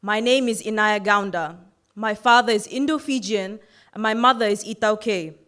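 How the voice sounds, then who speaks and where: A woman in her twenties from Fiji giving an earnest, measured personal speech in a competition. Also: mid-range voice, fairly bright tone. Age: 20s. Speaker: youth orator.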